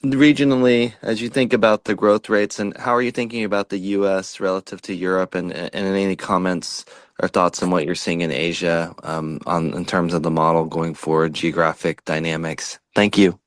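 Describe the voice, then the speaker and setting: A man asking questions as a financial analyst on an earnings call, halting and rephrasing as he goes. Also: sits low, level tone, dry recording, clean studio sound.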